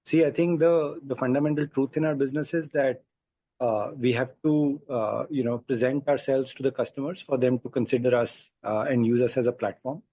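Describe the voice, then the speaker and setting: A man, a company executive answering questions deliberately and with pauses on an earnings call, in a husky voice. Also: medium pitch, fairly even intonation, warm tone, no echo, rough recording. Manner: deliberate, pausing, seeks agreement with "right?". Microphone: conference call line.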